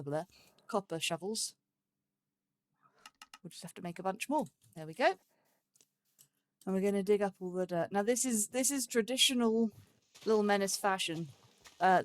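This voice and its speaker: Woman rambling through casual let's play commentary. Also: mid-range voice. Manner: rambling, thinking aloud. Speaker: host of a gaming let's play series.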